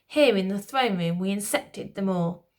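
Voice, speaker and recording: A woman's voice: medium pitch, normal speed, lightly acted. A woman voicing a scheming male Lord Protector who sounds pompous and falsely soothing. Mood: accusing.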